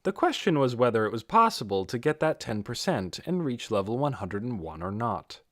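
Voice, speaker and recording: A man reading a scripted fantasy audiobook in an expressive, dramatic storytelling manner. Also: mid-range voice, moderate pace, no room sound, studio quality. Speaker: audiobook reader.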